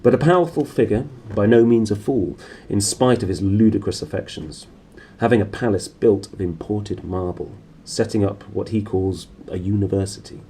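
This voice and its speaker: A man's voice: fairly low pitch, normal speed, dark tone, a little hiss or hum, lightly acted. A man voicing a worldly, assured professor in a storybook, emphatic and knowing. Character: opinionated male Arctic-travelled professor, worldly and assured.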